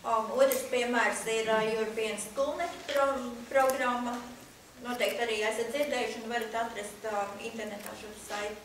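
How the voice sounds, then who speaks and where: A woman interpreting at a press conference, measured and pausing often with fillers. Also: fairly high pitch, steady tone. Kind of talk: consecutive interpreting. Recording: echoey hall, distant mic, faint noise.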